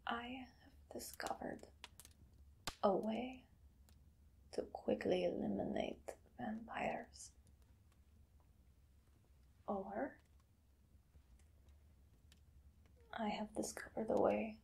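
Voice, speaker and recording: A woman playing a menacing, obsessive female vampire hunter in a whispered ASMR roleplay, slow and deliberate. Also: mid-range voice, fairly even intonation, creaky. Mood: quietly gloating. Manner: slow, hushed, deliberate, dramatic pauses. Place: small dim room indoors.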